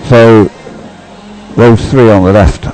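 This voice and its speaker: A man commentates live on a boat race in a deep, soft-edged voice. He rambles and hesitates, working out his thoughts as he speaks. Faint music sits behind him. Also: steady tone, takes it slowly, some chatter behind, low-quality recording. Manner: rambling, hesitant, thinking aloud. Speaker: sports commentator.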